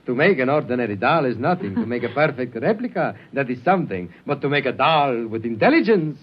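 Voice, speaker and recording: An actor playing a mysterious, proud foreign dollmaker in a 1950s radio drama, speaking with pompous, ceremonious phrasing. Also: male voice, mid-range voice, sing-song, a little fast, slightly rough. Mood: grandiose, proud. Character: mysterious theatrical dollmaker, proud, grandiose, foreign-sounding showman. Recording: muffled vintage radio broadcast, faint music.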